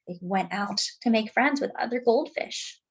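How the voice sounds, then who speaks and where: A woman reading a picture book aloud to children, expressive and deliberate, dropping in chatty asides. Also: fairly high pitch, gravelly, breathy edge, highs cut off. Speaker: children's story reader.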